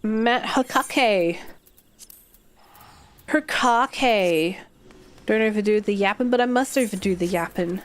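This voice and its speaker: A woman's voice: medium pitch, takes it slowly, pauses often, clean tone. An Australian woman streaming a game, chatting casually and rambling with some swearing, her throat a little irritated and her voice a bit worn. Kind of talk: casual livestream chat while gaming.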